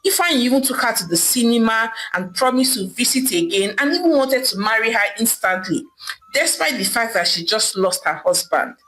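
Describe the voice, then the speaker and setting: A woman's voice: a little high, very animated, fairly quick, a bit bright, faint music behind, low-quality recording. A woman storyteller narrating a folktale dramatically, repeating words for emphasis.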